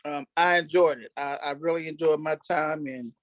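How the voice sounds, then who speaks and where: High-voiced man reminiscing, close dry sound. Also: fairly even intonation, moderate pace, a bit bright, no room sound. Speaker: cast member being interviewed, military veteran. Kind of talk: casual reminiscing.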